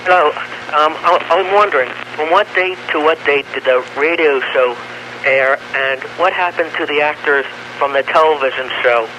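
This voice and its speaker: A man's voice: on the high side, normal speed. A man phoning in to a radio show with a question for the guest. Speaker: phone-in caller. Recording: noisy phone call on taped radio.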